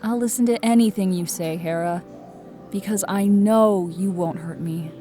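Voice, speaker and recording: A woman's voice: mid-range voice, moderate pace, mellow, faint music behind, lightly acted. A woman playing a proud but wounded, vulnerable goddess queen, speaking earnestly and emotionally in an audio drama. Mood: earnest, grateful.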